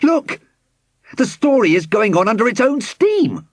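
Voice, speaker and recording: A man's voice: extremely high pitch, sing-song, a little slow, no echo, studio quality, voice pushed up, exaggerated voice. A man voicing a cocky, wicked young boy in a storybook, boastful and sneering. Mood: astonished.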